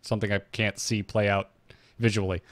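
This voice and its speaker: A man co-hosting a podcast, talking casually and off the cuff in a halting, wry, conversational way. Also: fairly low pitch, level tone, no room sound. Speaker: podcast co-host. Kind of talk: casual, unscripted fan discussion.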